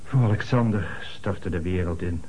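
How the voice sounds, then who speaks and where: An actor playing an earnest, formal middle-aged notary in a radio play, speaking in a measured way with dramatic emphasis. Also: male voice, low voice, mellow, husky. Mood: grave, emphatic.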